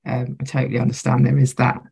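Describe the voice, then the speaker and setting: A woman co-hosting a casual podcast chat, her voice croaky today as she thinks aloud in a reflective way. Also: very deep voice, monotone, fast, mellow. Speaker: podcast co-host.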